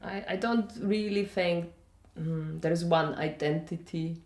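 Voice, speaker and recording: A woman's voice: fairly low pitch, a little slow, darkish tone. A woman from Poland with a Polish accent, speaking conversationally and in a rambling way as she answers interview questions. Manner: conversational, rambling, checks in with "you know" and "yeah?". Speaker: interviewee, festival organiser. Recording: echoey room.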